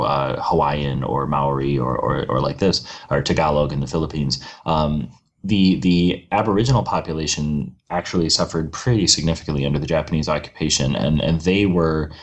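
A man, a guest historian on a podcast interview, giving long, measured explanations full of "you know" and "uh". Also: extremely low pitch, fairly even intonation.

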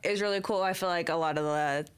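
Young woman, American accent, smooth clear tone, lower pitch, podcast guest, casual chat. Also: fast. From Oklahoma, United States. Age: young adult. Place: indoor podcast room with poster wall. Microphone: desk microphone.

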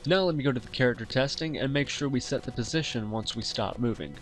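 A man hosting a software tutorial, explaining each step in an instructional way. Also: medium pitch, fast, soft background music. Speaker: tutorial host. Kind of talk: software tutorial narration over screen recording.

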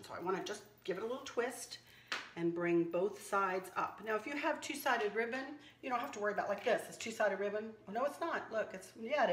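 A slightly hoarse woman hosting a casual craft tutorial, chatting and teasing herself as she works. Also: medium pitch, reverberant, slight background noise. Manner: chatty, self-teasing, talks while working. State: a little hoarse. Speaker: craft channel host. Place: kitchen counter indoors.

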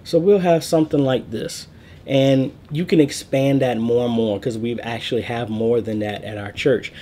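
A man's voice: medium pitch, steady tone, moderate pace, warm tone. A man in his thirties giving a casual screen-share tutorial on a church network, explaining step by step with fillers and small corrections, recorded on a webcam indoors.

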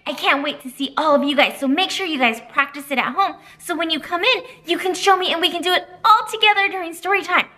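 A woman's voice: very high voice, quick pace, hardly any pauses. A woman in her thirties, a children's librarian, leading a storytime with songs and a picture book, gasping and gesturing with big expression, recorded on a webcam in a small library room. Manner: animated, exaggerated gasps and expressive gestures. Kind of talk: children's storytime reading and singing.

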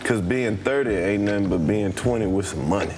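A man, a podcast co-host, calmly sharing his view in casual comedic banter. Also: fairly low pitch, fairly quick, a little hiss or hum.

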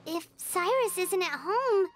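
A woman voicing a tiny childlike fairy girl companion, squeaky and earnest, in an anime game. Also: expressive, slow pace, faint music behind, heavily acted. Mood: puzzled.

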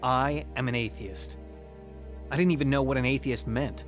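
An actor playing a grown man who soberly recounts his troubled youth in measured, plain radio drama narration. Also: medium pitch, natural voice. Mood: sober, reflective. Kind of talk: scripted first-person radio drama narration. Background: music.

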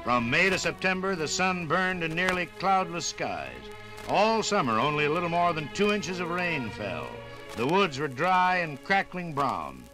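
An American man reads scripted narration for a 1950s radio documentary. His voice sits somewhat high, with dramatic, deliberate phrasing over clearly audible music. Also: sing-song, rough recording.

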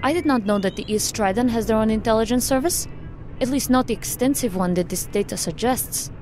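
Woman playing a formal, higher-voiced analytical agent. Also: a little fast, darkish tone, soft background music, close to an everyday voice. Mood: measured, analytical. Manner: precise, formal, without contractions. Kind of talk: audio drama acting.

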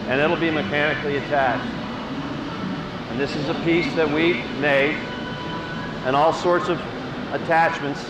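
A man's voice: on the high side, steady tone, unhurried, long pauses, recorded from a distance. A man leading a factory tour, explaining the work in a matter-of-fact way and confirming questions, speaking into a handheld mic. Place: large factory floor indoors. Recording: echoey factory hall with loud machine noise, from off mic.

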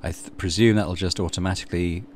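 A man's voice: low voice, steady tone, fast, a little hiss or hum. A man hosting a let's play, reading story narration aloud with casual commentary.

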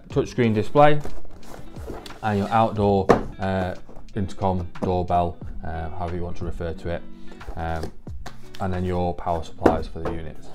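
A young British man giving an informal, plain-spoken product walkthrough, explaining things step by step in an indoor office. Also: lowish voice. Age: young adult. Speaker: technical manager presenting a product walkthrough. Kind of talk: informal instructional product demonstration. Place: indoor office, seated at a table.

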